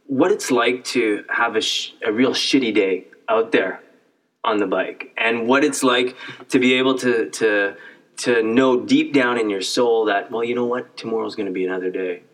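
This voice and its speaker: A man's voice: mid-range voice, moderate pace, a bit bright, distant mic, rough recording. A middle-aged man from Canada hosting a casual sit-down interview, asking earnest, searching questions and often repeating words as he builds them. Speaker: host.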